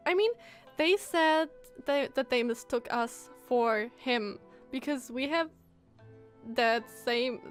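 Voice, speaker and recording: A woman streaming a game, giving casual, reactive commentary and thinking out loud. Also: high-pitched. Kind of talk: casual live gameplay commentary. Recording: game music behind the voice.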